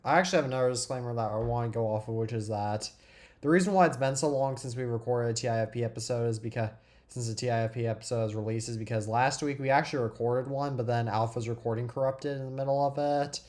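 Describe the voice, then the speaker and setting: A man's voice: medium pitch, steady tone, quick pace, darkish tone. Chatty teenage boy hosting, under the weather. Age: teens. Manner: chatty, restarts sentences often. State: sick with a cold. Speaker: podcast host. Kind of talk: casual tech chat.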